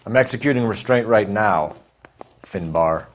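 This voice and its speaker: An actor playing a brisk, dry American police detective in a video game, clipped and businesslike. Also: male voice, lowish voice, animated, slight background noise. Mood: dryly irritated.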